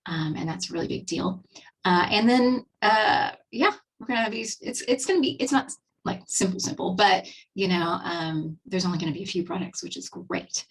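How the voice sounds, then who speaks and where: Husky Texan woman hosting a webinar. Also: mid-range voice, lively intonation, moderate pace, distant mic. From Texas, USA. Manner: chatty and upbeat, with many fillers and restarts. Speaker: webinar host and skincare presenter. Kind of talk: casual webinar presentation.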